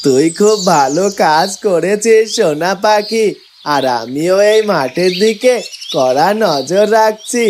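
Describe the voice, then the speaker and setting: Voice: medium pitch, a little hiss or hum. A performer voicing a brave, protective male horned farm animal in a fairytale cartoon, speaking earnestly and loyally. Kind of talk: cartoon character dialogue. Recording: loud music bed behind the voice.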